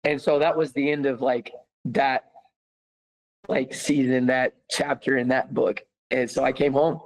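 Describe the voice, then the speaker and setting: A man's voice: medium pitch, unhurried, low-quality recording. A young American man, a former footballer, telling his story in a casual interview with many fillers. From United States. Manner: animated, many fillers, laughs easily.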